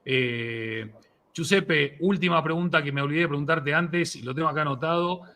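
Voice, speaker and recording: A man's voice: on the high side, normal speed, bright, forceful tone. A man hosting a casual, unscripted interview, effusive and enthusiastic toward his guest. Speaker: host of a live interview show.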